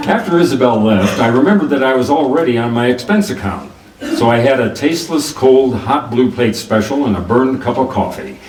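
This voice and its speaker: Roomy, distant recording with music: male actor as hard-boiled radio private eye, dry wit, animated pitch. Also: fairly quick, natural voice. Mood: wry, narrating. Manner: wry, clipped wisecracking. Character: wry hard-boiled male private detective narrating his case. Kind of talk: 1940s radio noir acting with scripted narration.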